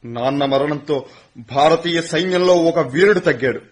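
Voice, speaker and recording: An actor in a radio play voicing a young college student, the son of a fallen soldier, speaking in an earnest, respectful way. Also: male voice, on the high side, moderate pace, natural voice.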